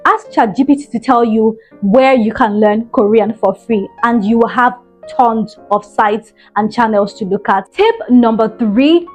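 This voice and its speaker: A woman's voice: on the high side, measured pace, faint music behind. A young woman vlogger giving earnest, encouraging study tips straight to camera, often repeating words for emphasis. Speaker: language-learning vlogger. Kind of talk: tips vlog, direct to camera.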